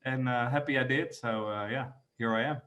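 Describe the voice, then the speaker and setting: A man's voice: mid-range voice, fairly even intonation, brisk, fairly bright tone. A man of about thirty with a Dutch accent, a native Dutch speaker, chatting casually as a podcast guest. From Netherlands. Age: 30s. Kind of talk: casual chat.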